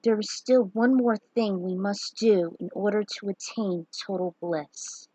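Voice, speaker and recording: A woman voicing an earnest young male racer, the groom, speaking seriously and quietly in a story reading. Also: measured pace, no echo, close to an everyday voice, poor audio. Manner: serious, low-key delivery.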